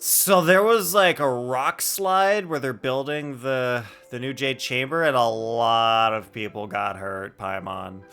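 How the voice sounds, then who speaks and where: A man's voice: mid-range voice, slow, few pauses, sharp tone, clean tone, soft background music. A man doing casual live commentary as he streams a video game, playful, joking and mock-argumentative.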